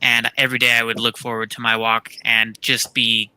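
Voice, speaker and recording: A man's voice: mid-range voice, level tone, normal speed, bright, forceful tone, clean tone, no echo, poor audio. A man talking casually about books as one of a panel. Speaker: book discussion panelist.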